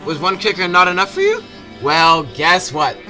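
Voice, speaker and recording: A man's voice: high-pitched, huge pitch swings, normal speed, sharp tone, poor audio. A man narrating a comedic scripted video game review with a sarcastic, exaggerated delivery. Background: music behind the voice.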